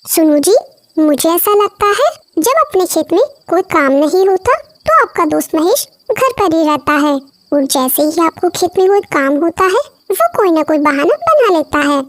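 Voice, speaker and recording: A woman's voice: very high voice, very animated, moderate pace, no room sound, clean studio sound. A woman voicing a concerned, watchful farmer's wife, caring and insistent, in a cartoon moral story. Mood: suspicious, insistent.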